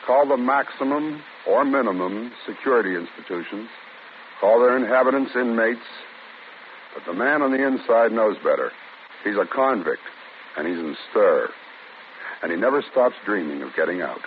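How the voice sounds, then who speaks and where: A man introducing a radio thriller, grave and measured in a scripted 1940s manner. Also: lowish voice, expressive. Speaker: radio drama host. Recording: tinny old radio broadcast with hiss.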